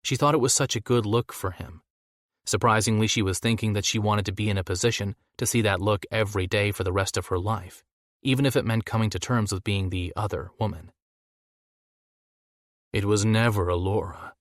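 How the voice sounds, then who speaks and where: A man's voice: on the low side, fairly quick, a bit bright, no room sound, clean studio sound. A man reading romance fiction as a scripted audiobook narration.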